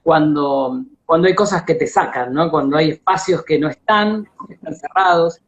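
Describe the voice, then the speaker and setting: A lo-fi, slightly muffled live-stream recording of an Argentine man hosting a casual interview. He talks with enthusiasm but haltingly, circling back and repeating words as he works out each thought. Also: on the high side, big pitch swings, vocal fry. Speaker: interview host. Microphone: video call. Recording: dull lo-fi live-stream audio.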